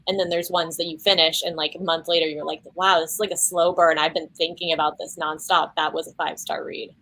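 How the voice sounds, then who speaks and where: A woman's voice: low voice, level tone, sharp tone. A woman chatting casually about books on a video call, peppering her talk with "like". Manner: quick, lots of "like". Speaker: book channel guest.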